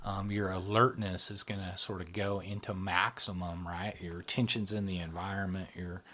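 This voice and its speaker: A middle-aged man explaining psychology in a relaxed interview, often checking in with "right?". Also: low-pitched, normal speed. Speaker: psychology channel founder, explaining. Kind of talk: explanatory conversation.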